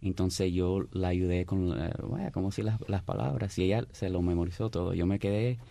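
A man with a Cuban accent, an actor telling stories as a guest in a friendly, measured way. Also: low voice, steady tone, creaky, warm tone, no room sound. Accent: Cuban Spanish.

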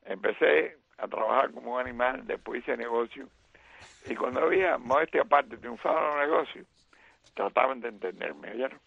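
Gravelly man on tinny radio line. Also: mid-range voice, moderate pace, no room sound. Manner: animated, fills with "eh" and "¿ok?". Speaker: radio sports commentator. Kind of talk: baseball talk on radio.

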